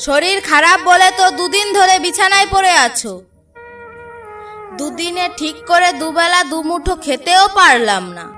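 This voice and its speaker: Woman playing a shrill, scolding village wife. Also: moderate pace, pushed and bright. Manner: scolding, demanding, rhetorical questions. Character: sharp-tongued, nagging village wife and mother. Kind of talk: Bengali cartoon voice acting. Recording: distant voice over faint music.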